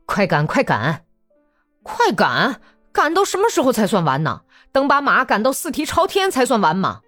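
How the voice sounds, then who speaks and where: A woman's voice: on the high side, wildly swooping pitch, moderate pace, fairly bright tone, dry recording, faint music behind, pitched above the performer's own voice, exaggerated voice. A woman voicing a weary male soldier orderly who grumbles and pleads, raising her voice above her usual tone. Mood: grumbling, exasperated. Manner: complaining, pleading.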